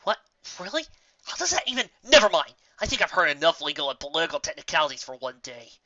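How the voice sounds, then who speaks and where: A woman's voice: deep voice, very animated, fast, bright, forceful tone, no room sound, dull audio, heavily acted. A woman voicing a temperamental pegasus mare in a storybook reading, exasperated and emphatic. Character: temperamental, fed-up pegasus mare, earnest and outraged.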